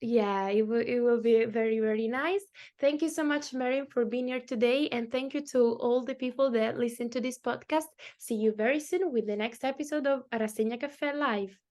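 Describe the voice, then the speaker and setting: A woman's voice: a little high, normal speed, darkish tone. Italian woman hosting a chatty podcast. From Italy. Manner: chatty, laughs often, many fillers. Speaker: podcast host. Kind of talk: casual interview chat.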